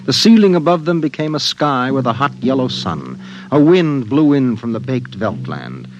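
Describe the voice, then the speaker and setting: A grave storyteller from a classic science-fiction radio play, voiced by a man. His middle-pitched voice rises and falls dramatically and lyrically, with music playing behind him. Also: very animated, normal speed, dull audio, lightly acted. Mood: ominous, vivid. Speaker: narrator. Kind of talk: old-time radio dramatic narration.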